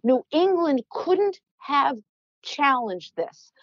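A middle-aged woman with a naturally loud voice tells a story as a podcast guest, getting more and more worked up. Her voice sits mid-range. The sound is dry and a bit dull, as if slightly lo-fi. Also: high voice, slow pace, no room sound. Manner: loud, animated, gets worked up. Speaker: podcast guest, former team executive. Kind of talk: storytelling in conversation.

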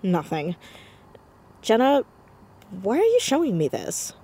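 Audio drama: earnest, romantic fourteen-year-old girl, expressive and headstrong, very lively pitch, slightly rough edge. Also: slow pace, exaggerated voice. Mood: eager, romantic. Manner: excitable, stammering when flustered, expressive. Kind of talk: naturalistic audio drama acting.

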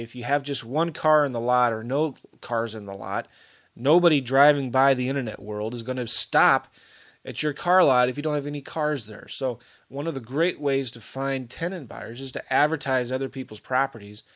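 A man's voice: mid-range voice, moderate pace, fairly bright tone. A middle-aged man talking casually to camera as a real estate investor, rambling with many fillers and restarts. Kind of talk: casual video update.

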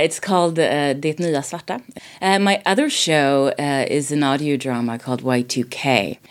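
Very low-pitched woman from Sweden. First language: Swedish. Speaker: podcast guest co-host. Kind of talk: casual chat.

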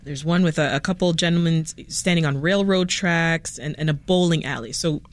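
A woman's voice: low voice, brisk. A woman hosting a radio interview, asking questions in a conversational way.

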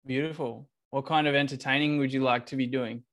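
A man facilitating an online group session over a video call, relaxed and coordinating the group. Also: mid-range voice, brisk. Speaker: workshop facilitator.

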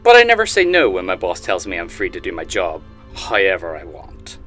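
Actor playing a menacing low-voiced gunman, swooping delivery. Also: wildly swooping pitch, fairly bright tone, soft background music, exaggerated voice. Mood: smug menace. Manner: theatrical, drawn-out threatening delivery. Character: menacing sadistic hired gunman, taunting and gleeful. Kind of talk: audio drama acting.

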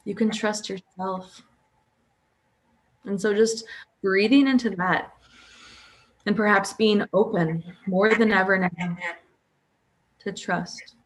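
A woman guiding a sleep hypnosis session as a hypnotherapist, calm, with drawn-out pauses and audible breaths. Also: mid-range voice, low-quality recording. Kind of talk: guided sleep hypnosis, soothing relaxation script.

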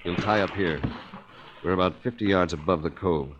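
An actor playing a shrewd, terse, confident investigator in a 1940s American radio detective drama. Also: male voice, low-pitched, normal speed, highs cut off, natural voice. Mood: tense, commanding. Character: shrewd, hard-boiled male insurance investigator. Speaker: lead actor. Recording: noisy old 1940s radio recording.

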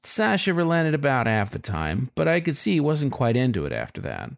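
Guy reading as a sly horny fox dude telling his own story, wry n confiding, frustrated, pitch goes up and down a lot. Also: huge pitch swings, fairly quick, vocal fry. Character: sly, sex-crazed male fox narrator, frustrated and self-justifying. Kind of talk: first-person audiobook story narration.

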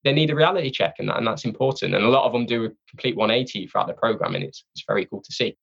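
A man's voice: mid-range voice, very fast, creaky, a bit bright, husky, dull audio. A man speaking informally as an interview guest, candid and self-deprecating in a casual conversation. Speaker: podcast guest, sales training company representative.

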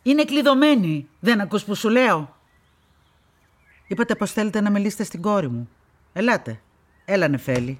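A woman acting a stern old grandmother in a radio drama. She is proud and opinionated, speaking emphatically in a mid-pitched voice with a brusque edge. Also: expressive, pauses often, natural voice. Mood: sharp, commanding. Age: older.

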